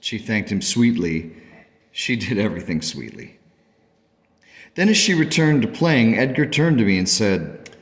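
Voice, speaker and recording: Actor as a deep-voiced grieving father, reverberant. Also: sing-song. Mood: caught off guard. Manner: halting, earnest, sorrowful. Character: grieving, devoted father recounting his daughter's illness. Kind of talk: first-person radio play narration. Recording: long reverb.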